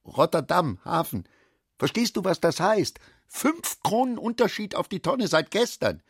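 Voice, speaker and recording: A husky-voiced, middle-aged father and businessman. His pitch moves widely as he frets in short, emphatic phrases. The sound is close and dry, as in a studio radio play. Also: big pitch swings, measured pace, no room sound, full character voice. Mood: agitated, calculating. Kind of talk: German radio drama acting.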